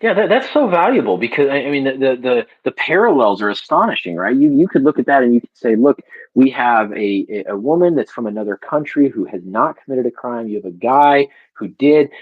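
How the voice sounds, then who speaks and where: A man's voice: medium pitch, lively intonation, brisk, breathy edge, phone-quality sound. A man co-hosting a conversation show, thoughtful, who often repeats words as he starts a thought. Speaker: podcast co-host. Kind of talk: conversational interview.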